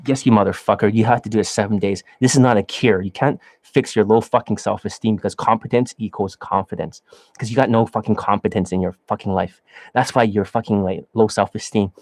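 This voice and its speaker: A man lecturing as a dating coach in a blunt, profane rant, swinging between loud outbursts and low muttering. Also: fairly even intonation, brisk. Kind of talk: ranting monologue lecture.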